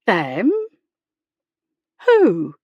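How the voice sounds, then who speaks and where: A protective, motherly housekeeper, played by a woman narrator in a storybook reading. Her words come slowly and with firm emphasis, reassuring and deliberate, in a close, dry sound. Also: fairly low pitch, huge pitch swings, a little mellow, slightly rough, no echo, dull audio, full character voice. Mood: concerned. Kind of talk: audiobook character dialogue.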